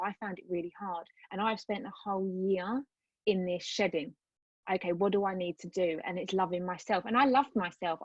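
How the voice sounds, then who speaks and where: A woman's voice: on the low side, no room sound, highs cut off. A woman, a coach, talking earnestly and rambling a little as an interview guest over a video call. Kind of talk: casual interview chat.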